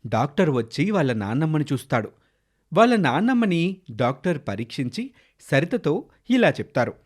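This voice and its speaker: A man's voice: a little high, sing-song, moderate pace. A man narrating a scripted children's moral story.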